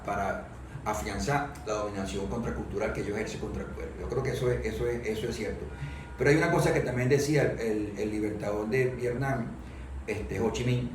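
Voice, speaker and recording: A Venezuelan man in a political discussion, speaking haltingly and often repeating words as he works toward his point. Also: medium pitch. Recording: very echoey room, distant mic, faint noise.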